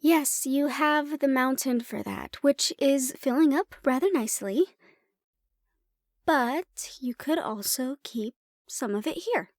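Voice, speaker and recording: A woman acting as an affectionate, teasing woman in a fantasy roleplay audio drama. Her voice is high and soft, close and very dry. She talks intimately to her partner, unhurried, leaving pauses. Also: no room sound. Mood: teasing, warm. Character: affectionate, teasing human woman, dragon's partner and healer shopkeeper.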